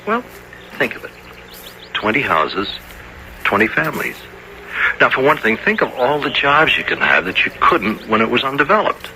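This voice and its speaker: A man's voice: mid-range voice, very animated, normal speed, long pauses, bright, forceful tone, heavily acted, rough recording. A man playing a persuasive, patronising property developer, coaxing like an adult talking down to a child, in a radio drama. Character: persuasive, condescending middle-aged property developer. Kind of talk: 1970s American radio drama acting. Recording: old radio recording, faint noise.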